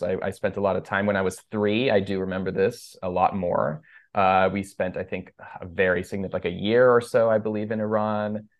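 A man in his thirties, raised between two countries and a native Persian speaker, chatting as a podcast guest in a loose, rambling way full of fillers and restarts. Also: lowish voice. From United States. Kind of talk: casual interview conversation.

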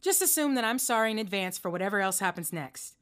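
An actress playing a late-night radio host, friendly with callers and exasperated by interruptions. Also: female voice, mid-range voice, lively intonation, fast, fairly bright tone, close to an everyday voice. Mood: wry. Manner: exasperated, scolding. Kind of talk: audio drama acting.

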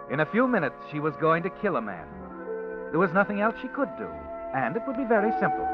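A man plays a dramatic male narrator who knows the secrets of men and women, and he speaks in a slightly raised, lively voice. He is heard on a dull vintage radio broadcast, with loud music beneath him. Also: a little high. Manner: brooding, dramatic. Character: the Whistler, ominous male night-walking storyteller.

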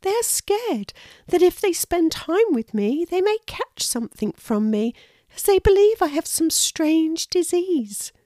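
A woman's voice: very high voice, big pitch swings, measured pace, mellow, no echo, voice pushed up, exaggerated voice. A woman voicing a small, shy, earnest young female squirrel in a children's storybook, with a little, apologetic voice. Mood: earnest, a little sad. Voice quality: little, thin voice.

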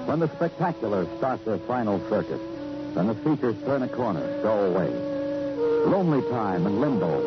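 An actor playing a weary, brooding American police detective with a deliberate delivery, in the style of 1950s radio noir. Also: male voice, fairly low pitch. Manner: brooding, deliberate, clipped in dialogue. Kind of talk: 1950s radio noir acting, poetic narration. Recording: dull vintage radio recording, loud music behind.

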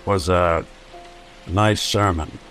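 An actor playing a weary, wry old android detective in a noir radio drama. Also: male voice, low voice, fairly even intonation, a bit bright, faint music behind. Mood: weary grief. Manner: wry, slow, world-weary.

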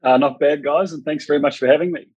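A man in his thirties with a New Zealand accent, a guest on a podcast, talking in a relaxed, chatty way. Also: fairly high pitch, quick pace, husky, no echo, dull audio. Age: 30s. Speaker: racehorse trainer guest. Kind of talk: podcast interview.

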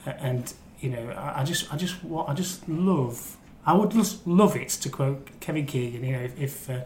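A man's voice: mid-range voice, expressive, moderate pace. A man chatting casually about football as a podcast guest, teasing and rambling, often repeating himself. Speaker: crime reporter and podcast guest. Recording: echoey room, faint background noise.

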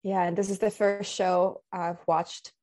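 A woman's voice: mid-range voice, flat delivery, measured pace, darkish tone. A young woman from Finland, a guest on a podcast, talking casually and thoughtfully with many fillers and restarts.

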